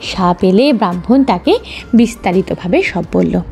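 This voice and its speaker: Woman narrating a childrens story, mellow n high, bit of music behind. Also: expressive, a little slow, gravelly. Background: soft background music.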